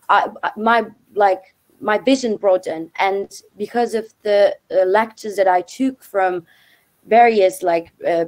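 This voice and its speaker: A young woman from Turkey talks through a video call in an informal, chatty way. She is a native Turkish speaker, her voice sits at a middle pitch, and her speech is full of casual "like" fillers. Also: a little mellow. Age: young adult. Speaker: alumna speaker, PhD student. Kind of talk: informal webinar talk.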